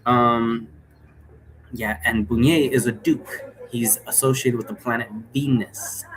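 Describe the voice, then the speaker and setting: A man hosting in a casual, rambling, explanatory way, halting often and checking in with the listener. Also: medium pitch, fairly even intonation. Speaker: host explaining role-play game rules. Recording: noticeable background noise.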